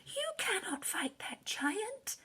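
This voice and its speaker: Woman voicing frightened, scolding big brother, swooping high. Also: big pitch swings, slow, noisy background, exaggerated voice. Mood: alarmed, warning. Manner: alarmed, warning, scolding. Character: frightened older brothers of a young shepherd boy.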